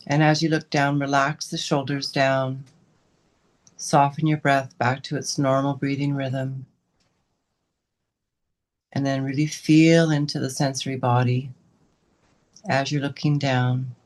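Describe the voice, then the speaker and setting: A woman guiding a meditation as a teacher, giving unscripted gentle instructions in short, unhurried phrases with pauses between them. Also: very deep voice, little pitch movement. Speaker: meditation and yoga teacher leading a guided session.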